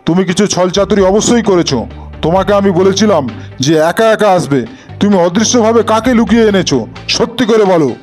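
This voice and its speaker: A man's voice: high voice, animated, moderate pace, darkish tone, faint music behind. A man voicing a jealous, imperious demon king in a fairy-tale cartoon, with a grave, declamatory delivery. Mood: suspicious, angry.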